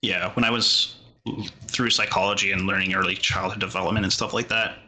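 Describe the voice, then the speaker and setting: A man from Canada, a native Portuguese speaker, chatting casually as a podcast guest in a rambling way, full of filler words and quick laughs. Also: sits low, fairly even intonation, sharp tone. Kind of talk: casual interview chat.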